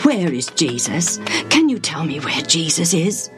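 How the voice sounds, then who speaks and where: A woman's voice: deep voice, very animated, measured pace, over music. A woman voicing a desperate middle-aged father, a royal official, speaking in a quivering, pleading, urgent way. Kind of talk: storybook reading.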